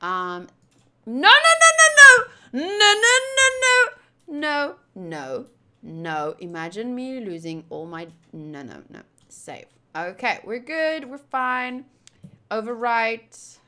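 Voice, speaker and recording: A woman livestreamer chatting casually to her viewers in a playful, teasing and animated way. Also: on the high side, takes it slowly. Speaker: streamer hosting a game playthrough.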